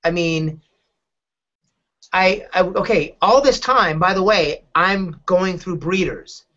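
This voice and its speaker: A middle-aged man hosting a podcast, telling personal stories casually in a halting, candid, rambling way. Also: high-pitched, bright, forceful tone. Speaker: podcast host, dog advocate. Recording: dull lo-fi podcast audio.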